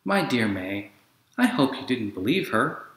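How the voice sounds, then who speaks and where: Supportive, gentle mother for a children's story male reader, consoling, echoey room. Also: medium pitch, very animated, unhurried. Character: tender, supportive mother of a little girl.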